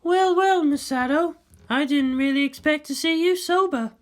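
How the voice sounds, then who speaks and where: A man voicing a cool, sensible woman scientist with a measured, dryly chiding manner in a fan fiction reading. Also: extremely high pitch, voice pushed up. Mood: dry, amused.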